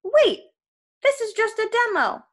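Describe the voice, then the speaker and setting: Storybook villain: giant male salesman, jovial, fussy, menacing, very lively pitch , female performer. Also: normal speed. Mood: panicked, pleading. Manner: folksy sales patter, casually threatening.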